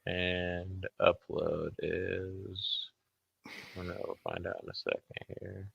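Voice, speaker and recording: A man's voice: low voice, monotone, slow pace, creaky, a bit bright, breathy edge, no echo, lo-fi sound. A man co-hosting a stream in offhand, sweary casual chat. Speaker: stream co-host.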